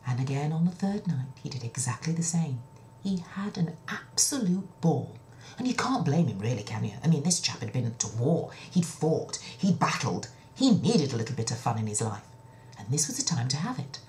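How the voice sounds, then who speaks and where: A woman telling a children's story in an expressive, dramatic way, with breathy dips toward a whisper and a chatty, confiding touch. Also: very deep voice, normal speed, a little hiss or hum. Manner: chatty asides to the listener. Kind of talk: children's story narration, told in own words.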